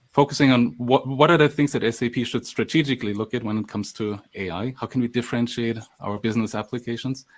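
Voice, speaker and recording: Articulate male panelist, lower pitch, steady delivery. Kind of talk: panel discussion.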